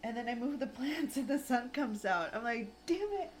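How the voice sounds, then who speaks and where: A woman in her thirties chatting casually at home in a rambling vlog, full of "like" fillers, her throat a little raspy. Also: on the high side, lively intonation, normal speed, a little hiss or hum. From United States. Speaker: lifestyle vlogger. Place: home, indoors.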